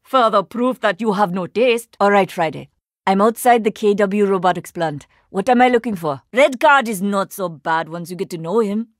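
Game role: Indian-accented female archer-scientist agent, played by an actress, proud, formal, dryly sarcastic; mid-pitched, very dry sound. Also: animated, normal speed, no room sound, lightly acted. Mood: businesslike. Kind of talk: video game character voice lines.

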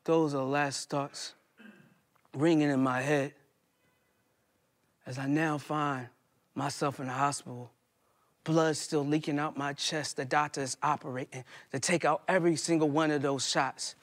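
An American man in his thirties performs spoken-word poetry on stage. His voice sits high, and he phrases dramatically, leaving deliberate pauses. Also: steady tone, fairly bright tone. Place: indoor hall stage before small audience.

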